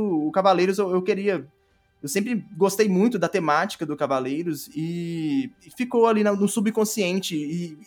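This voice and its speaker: A Brazilian man with a notably high voice talks casually as an interview guest. He is enthusiastic and circles back over his phrases while explaining. Faint music plays underneath. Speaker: audio drama creator, podcast guest.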